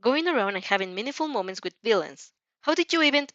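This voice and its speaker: A woman's voice: a little high, animated, fast, a bit bright, no echo, voice pushed up. A woman acts out a scornful teenage boy student from a fan fiction audio story. He scolds in an incredulous, dramatic manner. The sound is close and dry, a little muffled. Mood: exasperated. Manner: scathing, incredulous. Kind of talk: amateur fan fiction character reading.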